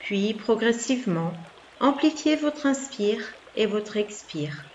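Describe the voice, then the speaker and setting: A woman yoga nidra instructor giving soft guided relaxation instructions in a calm, soothing, unhurried manner with long pauses. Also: fairly high pitch, lo-fi sound, slight background noise.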